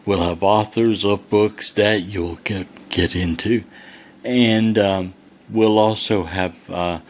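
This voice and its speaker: A man in his sixties hosting a podcast, asking questions with halting starts and fillers. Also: on the low side. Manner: halting, many uhs and restarts. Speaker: podcast host. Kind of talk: casual podcast interview.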